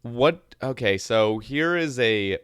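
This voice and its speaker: A man's voice: mid-range voice, animated, slow, fairly bright tone, clear, resonant tone, dry recording, clean studio sound. A young man hosting a podcast, chatting casually and reading out listener questions. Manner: easygoing, reads listener questions. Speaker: podcast host.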